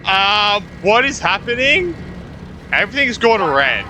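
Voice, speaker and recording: A man's voice: sits high, big pitch swings, unhurried, slight background noise. A young man giving casual, reactive let's-play commentary as a gaming streamer, with informal muttered asides. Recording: loud game music behind. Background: game music.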